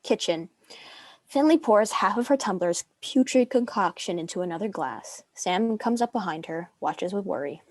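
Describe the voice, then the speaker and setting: A woman's voice: mid-range voice, lively intonation, normal speed, a little mellow, no room sound. A young woman reading screenplay stage directions as a narrator. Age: young adult.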